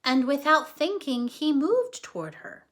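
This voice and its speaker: A woman reading a children's story aloud as a storyteller, with dramatic, deliberate phrasing. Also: high-pitched, big pitch swings, a bit bright. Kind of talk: expressive children's story narration.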